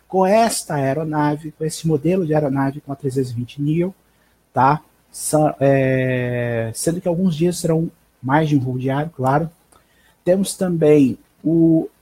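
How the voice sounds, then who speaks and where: A Brazilian man in his thirties with a slightly high, husky voice and a soft, mellow tone. He presents a news roundup live from home on a headset mic, informally and haltingly, often checking in with short tags. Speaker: live stream host. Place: small bedroom at home. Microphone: headset microphone.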